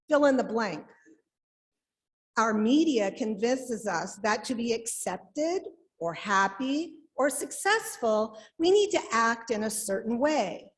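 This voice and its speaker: A woman delivers a sermon from a prepared text. Her voice sits a little high, and her pace is very slow. She moves through the script in measured phrases, giving each one its own space. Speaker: lay reader delivering a written sermon. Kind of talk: sermon read aloud from a script.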